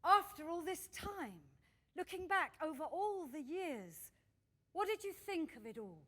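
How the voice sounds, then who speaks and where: A woman playing a wistful, admiring friend reminiscing in measured radio drama dialogue. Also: extremely high pitch, very animated, fairly bright tone, full character voice.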